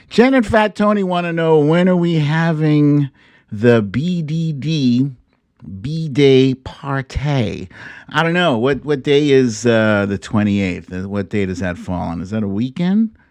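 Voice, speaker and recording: A man's voice: mid-range voice, a little mellow, dry recording. An American man in his fifties hosting a casual live online show, playful and jokey, drawing out words theatrically. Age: 50s. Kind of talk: casual livestream chat.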